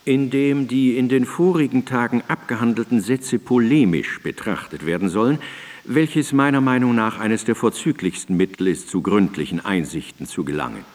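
An actor playing a courteous, ailing philosopher and teacher, reading in formal, measured old-fashioned diction for a radio drama. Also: male voice, medium pitch, lively intonation, darkish tone, close to an everyday voice. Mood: earnest. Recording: reverberant studio, digitized cassette radio play.

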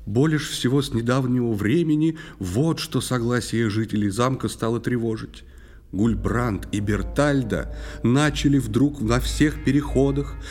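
A man's voice: medium pitch, a little mellow. A man narrating a fairy tale in verse for a scripted audio play.

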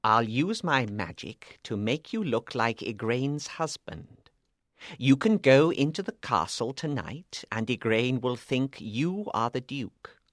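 A man voicing a wise old male magician, speaking quietly and in a measured way, in a storybook reading. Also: mid-range voice, sing-song, dry recording, clean studio sound. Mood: calm, knowing.

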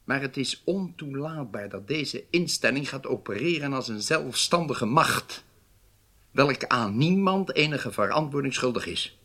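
A man acting in a radio drama as a stern, authoritative government leader who speaks formally and with measured weight. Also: mid-range voice, lively intonation, sharp tone, exaggerated voice. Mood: grave, resigned. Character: stern, weighty Israeli government leader rebuking his intelligence chief.

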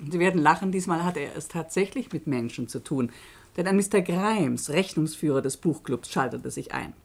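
An actress playing a narrator in a radio play, explaining a case in a composed, wry manner. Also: female voice, deep voice, lively intonation, normal speed, vocal fry, exaggerated voice. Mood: wry, explanatory. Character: wry female narrator presenting a case to listeners.

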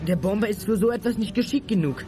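A thoughtful young boy acted by a man with a high voice and a measured, doubting manner. Music sits lightly behind this eighties radio drama. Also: dark tone, natural voice. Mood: doubtful. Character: Kemal, thoughtful, fair-minded boy. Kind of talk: 1980s German youth radio play acting.

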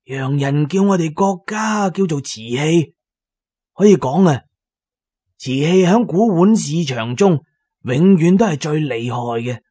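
An actor with a Cantonese accent playing a shrewd, talkative antique dealer in a radio drama, expounding knowingly and with emphasis. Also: male voice, a little high, expressive, a little slow, mellow, husky. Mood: enthusiastic, boastful.

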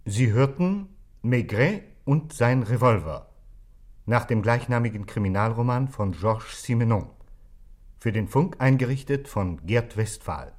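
A man announcing radio drama credits in a formal, deliberate, scripted manner. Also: medium pitch, lively intonation, a little mellow.

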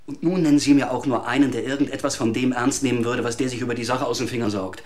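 A man's voice: medium pitch, fairly even intonation, close to an everyday voice. A man playing an evasive, flustered witness. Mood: evasive, defensive. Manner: evasive, defensive, quickly flustered. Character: evasive, nervous man under interrogation. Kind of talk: radio drama acting.

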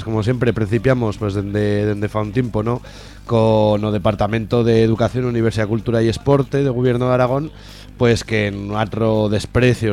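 A man with an Aragonese accent giving informal, sardonic political commentary as a radio contributor, halting often with repeated filler words. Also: on the low side, a little mellow.

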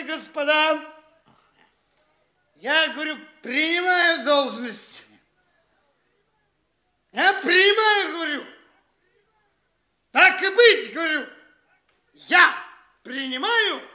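A tipsy young male braggart in a classic radio comedy, in an echoey room. The voice is very high and bright, swooping grandly from word to word as he stammers out his boasts. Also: exaggerated voice. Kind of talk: theatrical classic radio play acting.

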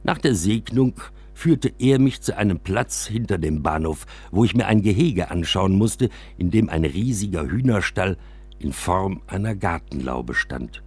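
A man reading a first-person literary narrative in an expressive storytelling manner. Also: low voice, unhurried, husky, no echo. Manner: expressive, acts out quoted dialogue. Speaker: narrator and reader of a memoir.

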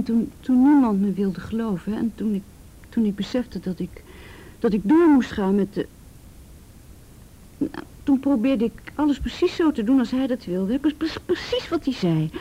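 A woman playing an ashamed, abused teenage girl in a radio drama, halting and repeating herself, often sinking to a breathy whisper. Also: a little high, warm tone, lo-fi sound. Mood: hurt, agitated. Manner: halting, repeats words, tag questions. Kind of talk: naturalistic radio drama acting.

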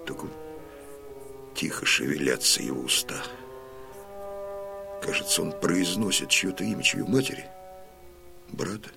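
An actor playing a fierce, grieving old Cossack colonel and father in a theatrical audio drama, declaiming with heavy pauses. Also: sits low, lively intonation, gravelly, full character voice. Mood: hushed grief. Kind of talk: theatrical radio drama monologue. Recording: studio drama with loud music behind.

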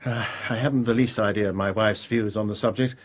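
An actor playing a troubled, bluff upper-class English country gentleman in a radio drama, polite but blunt and a little hesitant. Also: lowish voice, steady tone, brisk, natural voice. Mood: polite, uneasy. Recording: dull, lo-fi radio drama recording.

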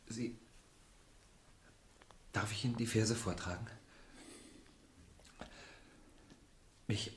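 An actor playing an earnest, fervent young idealist poet with formal, literary phrasing in a German radio play. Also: male voice, fairly low pitch, slight background noise. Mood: shy, lovelorn. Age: young adult.

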